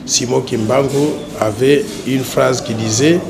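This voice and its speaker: A man lays out his background in a formal interview. He speaks at a medium pitch, steady and deliberate, pausing now and then to gather his words. A clear layer of background noise sits under his voice. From Democratic Republic of the Congo. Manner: measured and deliberate, with hesitant pauses. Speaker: interview guest, political candidate. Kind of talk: formal self-presentation in an interview. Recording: noisy ambient background.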